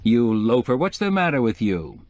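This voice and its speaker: A man voicing a reserved, wry middle-aged journalist, who speaks in a measured, dry way. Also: medium pitch, sing-song, darkish tone, no room sound, natural voice.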